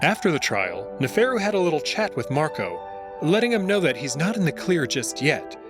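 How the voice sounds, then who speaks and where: Male narrator-host for visual novel read-through. Expressive scripted delivery, animated pitch, music bed. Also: brisk. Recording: music behind the voice. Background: background music.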